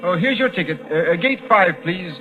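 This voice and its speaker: An actor playing a flustered railway gate official in a 1940s radio drama, muttering hesitantly and repeating himself. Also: male voice, sits high, expressive, moderate pace. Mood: flustered, polite. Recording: tinny vintage 1940s radio recording.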